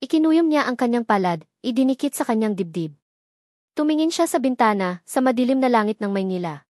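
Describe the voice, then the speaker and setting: A woman narrating a scripted drama story in a slow, measured storytelling manner. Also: fairly high pitch, lively intonation, darkish tone, no room sound, studio quality.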